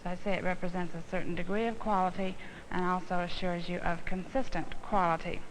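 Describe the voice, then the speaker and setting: An American woman giving an instructional demonstration, explaining things in a deliberate, didactic way. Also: fairly low pitch, little pitch movement. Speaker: instructional film presenter, home economist. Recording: noisy old instructional film soundtrack.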